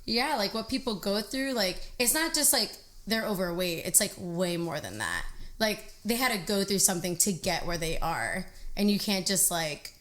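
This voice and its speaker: A bright, clear-voiced young woman in her twenties talks casually on a podcast couch. She is animated, laughs easily and fills her speech with "like". Recorded on a stand mic in a small living room studio. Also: medium pitch, moderate pace. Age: 20s. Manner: animated, laughing, says "like" often. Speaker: podcast guest, trucker and fitness trainer. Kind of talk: casual interview chat. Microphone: desk mic on a stand.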